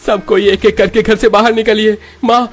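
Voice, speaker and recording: A man's voice: sits high, level tone, moderate pace, soft background music, pitched above the performer's own voice, lightly acted. A man voicing a young village husband, urgent, protective and pleading, in a melodramatic story style. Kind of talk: melodramatic Hindi audio story acting.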